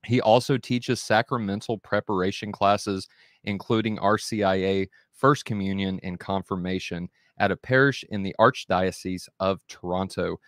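Guy hosting a debate over a video call, kinda low voice, upbeat and folksy, dry room. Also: moderate pace, dry recording. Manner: upbeat, folksy, says y'all. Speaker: debate host and moderator. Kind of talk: casual show hosting. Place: home room with bookshelves. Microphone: headset and desk mic on video call.

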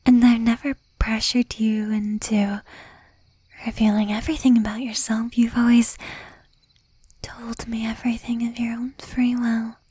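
Woman playing a breathy, whispering possessive demon girlfriend. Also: on the high side, flat delivery, warm tone, dry recording. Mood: suspicious, probing. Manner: slow, intimate, with pointed pauses. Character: jealous, possessive young female demon girlfriend, sweet but menacing. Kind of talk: whispered ASMR roleplay.

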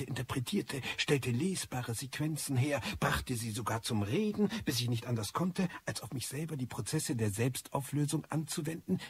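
An actor playing a disillusioned, ageing male hacker confessing on tape in a radio drama, brooding and theatrical, with a husky, raspy voice. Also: mid-range voice. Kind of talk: German radio drama monologue.

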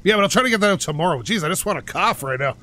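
A man hosting a driving game playthrough, pushing his voice out loud and energetic as he rambles and pokes fun at himself from his couch. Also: sits high, rapid-fire. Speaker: gaming channel host. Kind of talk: casual let's-play commentary. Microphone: home microphone while playing on a couch.

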